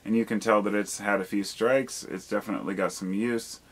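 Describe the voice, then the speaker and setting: A man's voice: lowish voice, fairly even intonation, fairly quick. A man talking in a casual, rambling monologue, candid and casually profane, reflective and questioning himself as he goes. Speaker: video blogger.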